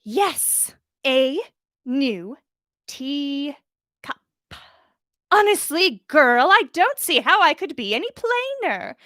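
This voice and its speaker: Casting: woman playing a prim, condescending older witch for storytelling; high, swooping, sickly sweet and indignant, every word pointedly enunciated. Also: big pitch swings, takes it slowly, pauses often, bright, forceful tone, dry recording, clean studio sound, full character voice. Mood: scolding. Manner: haughty, over-enunciated, scolding formality.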